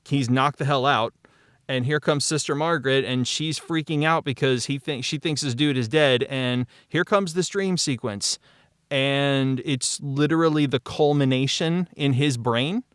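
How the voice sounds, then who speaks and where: Clear-voiced man telling stories casually. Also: mid-range voice, no echo, studio quality. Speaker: voice actor and audio drama creator. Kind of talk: casual behind-the-scenes cast discussion.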